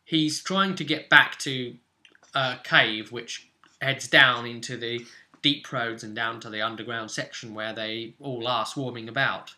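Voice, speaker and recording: Very bright-voiced man recapping a tabletop game. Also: mid-range voice, steady tone, a little slow. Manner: explanatory, loosely phrased with asides. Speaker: host and game master. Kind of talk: casual tabletop role-play recap.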